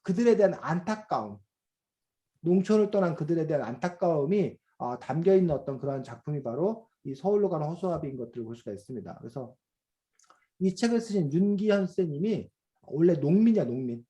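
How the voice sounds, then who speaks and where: Middle-aged male teacher, slightly high but mellow, explanatory and patient, many fillers, video-call sound. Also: animated, fairly quick. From South Korea. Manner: explanatory, many fillers, asks students questions. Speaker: reading teacher. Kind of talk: online class lecture to children.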